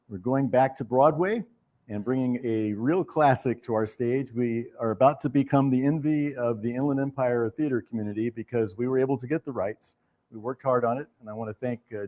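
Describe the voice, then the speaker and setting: A middle-aged or older man hosting a theater event, making announcements to an audience in a formal, gracious and halting manner. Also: medium pitch, a little mellow. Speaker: theater host announcing a new season.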